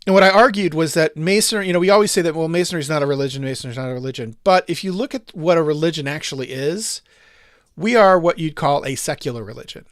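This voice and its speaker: A man with a slightly higher voice hosts a casual podcast and talks in long, winding thoughts that loop back on themselves. The sound is dry and close, with no room around it. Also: fast, dry recording. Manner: thoughtful, rambling with restarts and asides. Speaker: podcast host.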